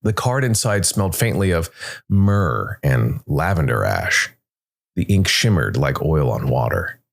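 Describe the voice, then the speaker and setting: A man's voice: rumbling low, big pitch swings, measured pace, creaky. A man hosting a podcast and reading scripted story narration.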